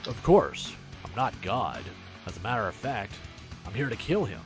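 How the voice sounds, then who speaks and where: A villainous politician with a deepish, lower-than-average voice. He is suave and menacing, a measured, pompous preacher of doom in a visual novel, with prominent music playing underneath. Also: sing-song. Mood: fanatical.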